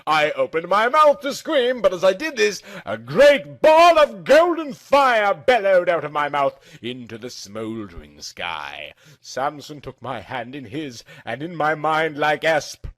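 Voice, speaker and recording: A man's voice: fairly high pitch, sing-song, a little slow, fairly bright tone. A man reading a first-person story aloud in a theatrical, emphatic narrating style.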